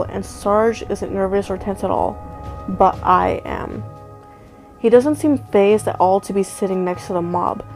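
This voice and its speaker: A woman narrates a first-person story in a relaxed, chatty way. Her pitch sits in the middle, and soft music runs faintly underneath. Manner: casual, conversational storytelling.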